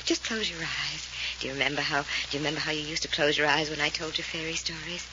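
A woman's voice: deep voice, fast talker, close to an everyday voice. An actress playing an insistent, eerily soothing woman who coaxes and controls in an old-time radio drama. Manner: coaxing, insistent, hushed reassurance. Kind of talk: 1940s radio drama acting. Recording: muffled, very noisy old radio recording.

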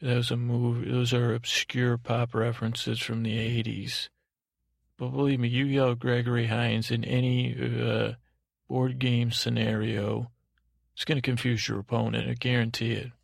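A man's voice: mid-range voice, flat delivery, unhurried, gravelly, no echo, clean studio sound. A man hosting a sleep podcast, rambling in a soothing, lulling tone with a hesitant, digressive, self-deprecating manner. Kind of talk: rambling, meandering bedtime monologue.

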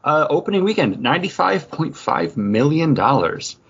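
A man's voice: on the high side, big pitch swings, normal speed, gravelly, fairly bright tone, breathy edge. A man co-hosting a podcast in a casual, conversational way, describing things as he chats. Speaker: podcast co-host. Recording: slightly muffled, lo-fi audio.

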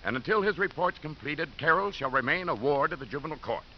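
A man's voice: a little high, lively intonation, fast talker, natural voice. A man playing a stern, authoritative judge in a 1950s radio drama, brusque and formal. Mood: stern, official. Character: stern, authoritative male juvenile court judge. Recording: hissy muffled 1950s radio recording.

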